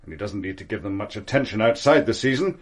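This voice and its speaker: Pompous landed gent, husky, lively intonation, haughty, defensive, radio mystery. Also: male voice, lowish voice, fast. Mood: indignant. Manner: haughty, blustering, indignant. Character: pompous, defensive, titled male country gentleman, easily affronted. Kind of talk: radio drama acting.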